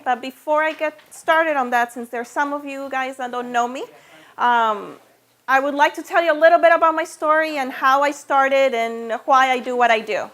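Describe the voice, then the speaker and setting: High-voiced woman with a Venezuelan Spanish accent. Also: a bit bright. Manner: conversational, checks in with listeners with "okay?" and "right?". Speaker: natural health practitioner giving a talk. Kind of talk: informal personal talk to a small audience.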